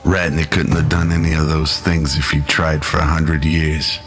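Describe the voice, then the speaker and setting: A man narrating a scripted radio drama in a measured, dramatic storytelling manner. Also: extremely low pitch, flat delivery, a bit bright. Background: music.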